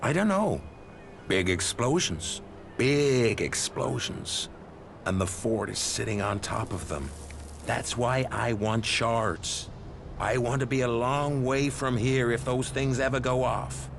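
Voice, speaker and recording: A worldly, profit-minded historian from a wasteland game. His mid-range voice explains things in a measured, wary way, over faint ambient noise. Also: medium pitch, gravelly. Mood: wary, uneasy. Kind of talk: expository game dialogue.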